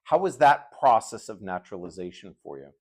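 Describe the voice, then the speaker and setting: A man from hosting a political interview, speaking in a measured way with jokey asides. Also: on the low side, very animated, a little mellow. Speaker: interview host.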